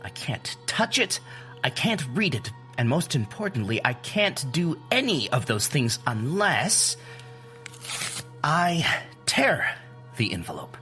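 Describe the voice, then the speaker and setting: Slow, earnest male scientist. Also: a little high, sing-song, bright, forceful tone, faint music behind, heavily acted. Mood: searching, earnest. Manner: deliberate, groping for simple analogies. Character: earnest, cerebral male scientist explaining an unearthly mystery. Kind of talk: naturalistic audio drama acting.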